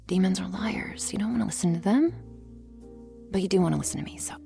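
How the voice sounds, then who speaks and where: A woman's voice: medium pitch, fast, creaky, mellow, soft background music. A woman hosting an ASMR channel, giving a casual half-whispered warning before a roleplay. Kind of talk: ASMR intro, casual aside.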